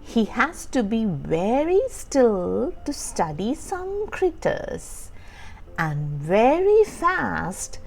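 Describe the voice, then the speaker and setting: A woman's voice: fairly high pitch, big pitch swings, extremely slow, gravelly. A woman reading a children's storybook aloud, telling it expressively and stressing key words for young listeners. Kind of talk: scripted read-aloud narration for young children. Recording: music under the voice. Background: background music.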